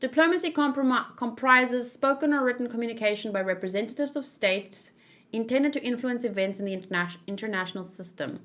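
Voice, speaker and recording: A woman's voice: on the high side, brisk, fairly bright tone. A woman giving casual, informal commentary as a read-along host, thinking aloud and searching for her words as she goes.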